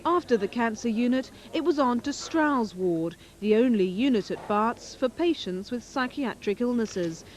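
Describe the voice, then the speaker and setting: A British woman narrates a television news report from a script. Her voice is pitched fairly high. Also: lively intonation, normal speed, hardly any pauses.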